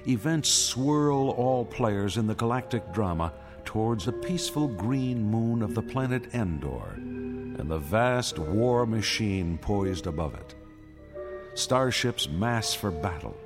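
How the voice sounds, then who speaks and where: Grave saga storyteller, low pitch, solemn, dramatic scripted narration, clear musical backing. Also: male voice. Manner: solemn, portentous.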